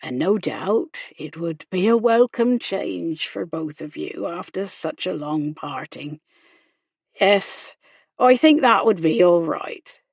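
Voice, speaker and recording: A woman voicing a kindly, proper male country doctor, gentle and hesitant, in a period romance audiobook. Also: fairly low pitch, lively intonation, slow, vocal fry, husky, no echo, studio quality, full character voice. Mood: measured, professional.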